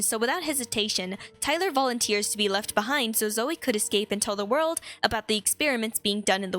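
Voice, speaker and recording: A woman's voice: medium pitch, pushed and bright. A woman hosting a video, giving a scripted but casual story recap with chatty, conversational commentary. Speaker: video host.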